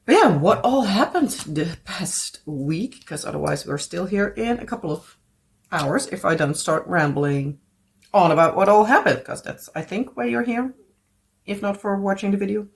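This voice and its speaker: A woman's voice: mid-range voice, lively intonation, normal speed. A Dutch woman with a Dutch accent chatting casually at home as a craft vlogger, rambling and searching for English words with frequent little laughs. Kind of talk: casual chat while crafting.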